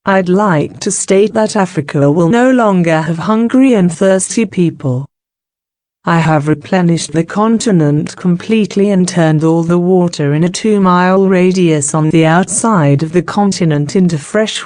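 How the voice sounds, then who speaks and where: A woman's voice: fairly low pitch, unhurried, darkish tone, no echo, clean studio sound, close to an everyday voice. A woman playing a benevolent, dignified goddess of wisdom, speaking formally and with measure in a scripted drama.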